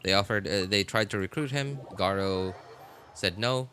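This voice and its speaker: A man's voice: on the low side, normal speed, bright, forceful tone, slight background noise. A man streaming live, chatting casually and rambling, with many fillers and self-corrections as he answers his chat.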